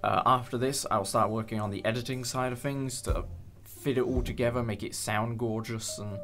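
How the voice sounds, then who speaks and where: A man's voice: medium pitch, steady tone, moderate pace, a bit bright, soft background music. A young man hosting a casual behind-the-scenes chat about a production, speaking in a hesitant, appreciative way. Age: young adult. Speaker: host and audio drama producer.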